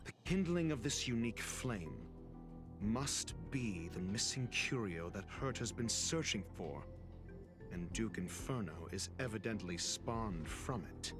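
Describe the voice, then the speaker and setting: A composed, deliberate detective, music behind. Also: male voice, lowish voice, lively intonation. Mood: deductive, measured. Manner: deliberate, articulate, expository. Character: composed male detective reasoning out a mystery. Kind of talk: dramatic video game cutscene monologue. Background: background music.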